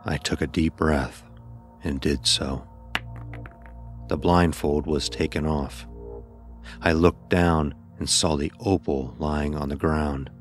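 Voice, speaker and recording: A man narrating a scripted first-person horror story in a grave, deliberate storytelling manner. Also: rumbling low, fairly even intonation, faint music behind.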